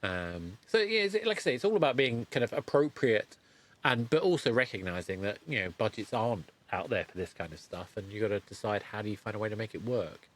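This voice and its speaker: Middle aged guy hosting an interview, lowish voice, rambles and keeps correcting himself. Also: animated. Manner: halting, rambling, self-correcting. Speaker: podcast host interviewing a guest. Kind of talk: conversational interview.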